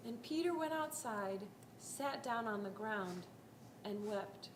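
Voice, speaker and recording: A woman's voice: a little high, lively intonation, slow. A woman narrating a scripted dramatic reading of a Bible story. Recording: roomy echo, faint background noise.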